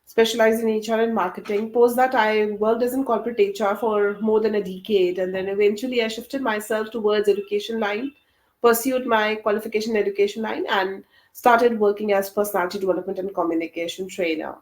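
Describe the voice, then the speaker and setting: A woman's voice: medium pitch, fairly even intonation, fairly quick, darkish tone, poor audio. A woman, leading an English practice session as mentor over a video call, often repeating words as she prompts. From Delhi, India. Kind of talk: hosting a conversation practice session.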